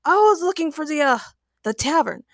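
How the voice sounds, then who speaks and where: A proud, excitable man acted out by a woman in a fan fiction story. The voice is pushed high and loud, boastful and quick to argue, in a dry, close recording. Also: no echo, full character voice. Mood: flustered. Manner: shouting, stammering when caught out, boastful.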